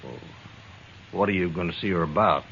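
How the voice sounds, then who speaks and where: Cowboy outlaw, very low voice, guarded and bitter, noisy vintage radio drama sound. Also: male voice, fairly quick, fairly bright tone, muffled recording. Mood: hesitant, troubled. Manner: curt, guarded, intense. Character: bitter, defiant male outlaw in custody. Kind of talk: 1950s radio western acting.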